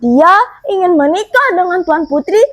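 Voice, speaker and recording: A woman storyteller narrating a folktale in an expressive, dramatic, theatrical way. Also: very high voice, moderate pace.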